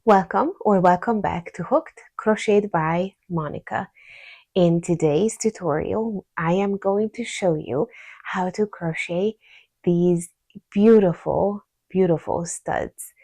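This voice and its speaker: A woman's voice: fairly low pitch, warm tone, no room sound. A woman with a Hungarian accent hosting a crochet tutorial, explaining each step patiently and counting stitches aloud.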